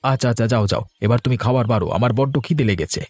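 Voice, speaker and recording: A man's voice: mid-range voice, racing, a little mellow, dry recording, faint music behind, lightly acted. Man voicing a stubborn, grumbling miserly husband. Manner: defensive, complaining. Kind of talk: animated cartoon story dialogue.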